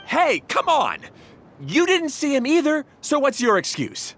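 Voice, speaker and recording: A man's voice: extremely high pitch, wildly swooping pitch, measured pace, fairly bright tone, soft background music, full character voice. A man playing a defensive, grumbling young man, a bickering brother, in a video game.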